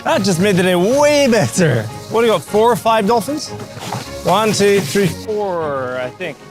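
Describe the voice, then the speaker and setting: Higher-pitched man vlogging about life sailing. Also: big pitch swings, measured pace, music in the background. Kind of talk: casual vlog chat and narration. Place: on a sailing boat at sea.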